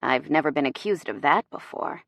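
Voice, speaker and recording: A woman voicing a confident, brooding male suitor in a romance audiobook, in a gruff, hushed, serious murmur. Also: deep voice, dry recording, voice pushed down, exaggerated voice. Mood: teasing, low. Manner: murmuring, deadly serious, deliberate. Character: confident, intense man, seductive brooding suitor.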